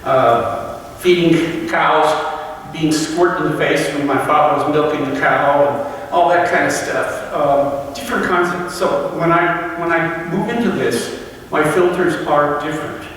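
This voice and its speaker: A man giving a personal reflective talk to a fellowship, halting and searching for words with frequent restarts. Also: a little high, fairly bright tone, a little hiss or hum. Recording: echoey hall from far off.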